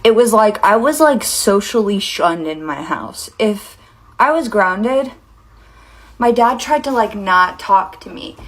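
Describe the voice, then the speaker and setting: A woman's voice: mid-range voice, expressive, slow pace. Young adult female, American, wry rambling storytime, roomy echo, off-mic sound. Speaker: social media storyteller. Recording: echoey room, distant from mic.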